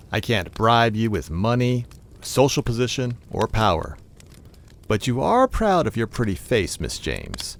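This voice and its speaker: Man playing a sneering crime boss, swooping pitch. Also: a little hiss or hum. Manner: theatrical, taunting. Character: menacing, sneering adult male crime-boss villain. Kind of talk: melodramatic old-time comic-book radio drama acting.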